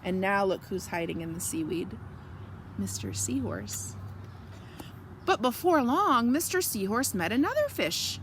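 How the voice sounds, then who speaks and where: Slow, animated woman reading to children. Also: mid-range voice, a little hiss or hum. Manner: gentle, explanatory, questioning young listeners. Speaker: children's story reader. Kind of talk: read-aloud picture book with asides to children.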